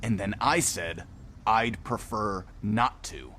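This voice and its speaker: A man playing an eerie, deadpan young man joined with a cosmic Void in an audio drama. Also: fairly low pitch, slow. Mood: dry, deadpan. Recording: loud noise behind the voice.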